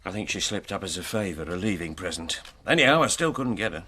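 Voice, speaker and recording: An actor in a radio drama playing a genial, wry, self-assured retired police detective. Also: male voice, low-pitched, lively intonation, fast, pushed and bright, husky, full character voice. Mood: wry, reminiscing. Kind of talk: naturalistic radio drama acting.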